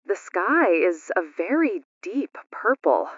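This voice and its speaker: A woman acting as a self-reliant female survivalist in a radio drama, calm and stubborn. She speaks slowly with a very mobile pitch, in a dull, dry lo-fi recording. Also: slightly rough, dry recording, exaggerated voice. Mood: calm, resolute. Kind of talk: radio disaster drama, listener call-in.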